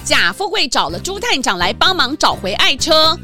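A woman's voice: sits high, very animated, moderate pace, sharp tone, soft background music. A woman reading scripted narration for a children's detective story.

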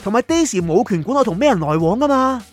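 A man's voice: extremely high pitch, lively intonation, quick pace, faint music behind. A man with a Hong Kong accent playing an exasperated, henpecked boyfriend, telling his love woes in a colloquial, self-mocking radio drama style. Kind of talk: dramatised first-person comic storytelling.

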